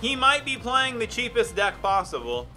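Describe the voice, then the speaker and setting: A young man giving relaxed, chatty commentary on his own game stream, reading chat aloud and sounding a bit phlegmy. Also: very high-pitched, moderate pace. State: phlegmy throat. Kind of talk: casual gameplay commentary. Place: indoor room at a desk. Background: music playing.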